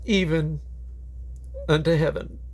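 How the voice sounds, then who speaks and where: A man with a slightly high voice reads scripture aloud as a Bible reader. His delivery is solemn and declamatory, and his phrases tend to drop at their endings. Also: steady tone, slow pace, darkish tone.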